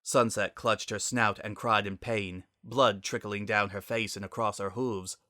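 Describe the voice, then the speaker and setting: A man's voice: on the low side, steady tone, measured pace, pushed and bright, no room sound, studio quality. A man reading a story aloud as narrator in a dramatic style.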